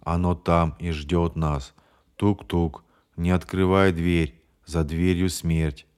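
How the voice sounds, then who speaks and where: A man doing a hollow, deranged monotone man. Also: low voice, clear, resonant tone, pitched below the performer's own voice. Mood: eerie monotone. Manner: slow, flat, toneless chanting.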